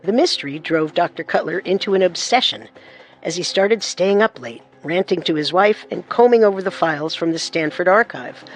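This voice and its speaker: High-voiced man narrating true crime. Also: moderate pace, soft background music. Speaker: narrator and co-host.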